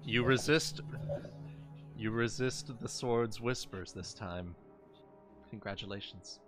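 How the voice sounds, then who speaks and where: Slow-talking man running a game. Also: on the low side, over music. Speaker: game master. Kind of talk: casual game-running talk.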